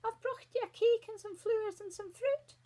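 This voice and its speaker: A squeaky wolf faking a girl's voice. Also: moderate pace, dark tone. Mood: falsely sweet. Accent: Scottish. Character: sly wolf mimicking a little girl, squeaky.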